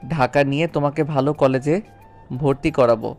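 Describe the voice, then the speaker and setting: অডিও গল্পে একজন পুরুষ অভিনেতা এক তরুণ স্কুলশিক্ষক স্বামীর চরিত্রে, আন্তরিক ও অনুনয়ের সুরে প্রমিত বাংলায় কথা বলছেন।. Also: male voice, mid-range voice, moderate pace, a little mellow, natural voice. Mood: earnest, resolute. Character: earnest young schoolteacher husband, apologetic and pleading. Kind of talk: audio story drama acting. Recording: background music under voice. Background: music.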